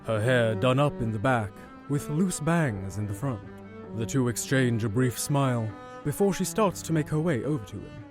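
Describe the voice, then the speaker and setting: A man's voice: mid-range voice, lively intonation, normal speed, music in the background. A man narrating an audio drama from a script, as its storyteller.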